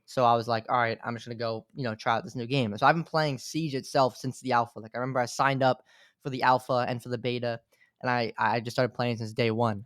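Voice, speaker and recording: A man in his twenties, a guest on a podcast, talking in a relaxed way with lots of filler words. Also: mid-range voice, fast talker, no echo, studio quality. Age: 20s. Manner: relaxed, fills talk with "like". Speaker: podcast guest, gaming content creator. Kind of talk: casual interview chat.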